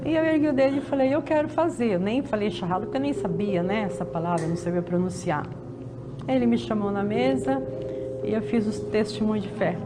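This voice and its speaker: A woman's voice: mid-range voice, a little fast, noisy background. A woman in her fifties telling her story earnestly as an interviewee. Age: 50s. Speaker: interviewee, convert. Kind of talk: recorded testimony.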